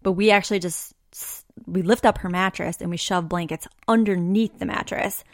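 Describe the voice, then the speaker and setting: Hoarse scratchy lady podcaster, gravelly, kinda low, rambly chatty style. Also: steady tone, moderate pace, dry recording, studio quality. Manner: chatty, talks in long running thoughts with many fillers. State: hoarse, scratchy voice after a loud party. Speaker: podcast co-host. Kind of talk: casual chat.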